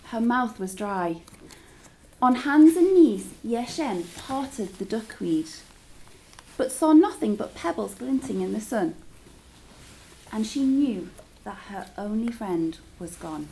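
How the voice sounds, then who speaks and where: High, slow, expressive woman storyteller. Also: slight background noise, low-quality recording. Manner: dramatic, engaging listeners with questions. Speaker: teacher reading a story aloud.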